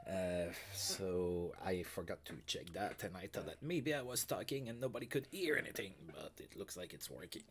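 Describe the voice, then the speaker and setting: A man's voice: deep voice, animated, normal speed, few pauses, a little hiss or hum. A man with a stuffy, allergy-blocked voice, casually chatting and calling plays as host of a baseball management game stream. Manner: chatty, fillers, play-by-play calls. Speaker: let's play host. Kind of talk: casual baseball sim commentary. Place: home streaming setup.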